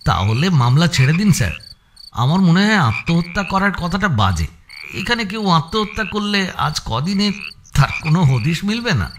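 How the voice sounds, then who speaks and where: A man's voice: high-pitched, very animated, normal speed, slight background noise. An actor playing a detective's keen assistant in an audio story, inquisitive and deferential as he questions his superior. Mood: questioning. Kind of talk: Bengali audio story acting.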